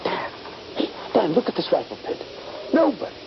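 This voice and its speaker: A man's voice: high voice, huge pitch swings, normal speed, creaky, full character voice. An actor playing a bold, eager young Union Army soldier in a radio drama, confident and dramatic in his urging. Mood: urgent. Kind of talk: radio drama acting with first-person narration. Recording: dull, noisy 1970s radio recording.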